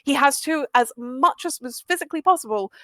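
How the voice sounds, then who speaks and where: A woman's voice: high voice, lively intonation, a little fast, fairly bright tone, no echo, clean studio sound. A woman explaining history casually and with great enthusiasm, emphatic and effusive, happy to swear. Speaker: guest audio drama maker.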